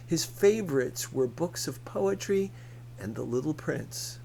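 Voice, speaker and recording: A man reading a novel aloud as scripted narration, in a measured, deliberate manner. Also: medium pitch, a little mellow, slight background noise, poor audio. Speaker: audiobook reader.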